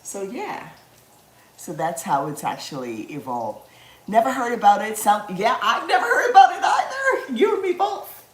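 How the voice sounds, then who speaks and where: A woman's voice: on the high side, very animated, moderate pace, reverberant, a little hiss or hum. A woman, chatting casually with viewers as she cooks on a livestream, laughing easily. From Guyana. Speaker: livestream cooking host. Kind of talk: casual livestream cooking chat.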